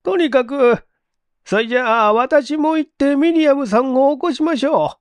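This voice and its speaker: Man putting on a high-pitched fussy landlady. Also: normal speed, no echo. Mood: fussy, puzzled. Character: fussy, grumbling middle-aged landlady. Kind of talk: dramatized audiobook reading.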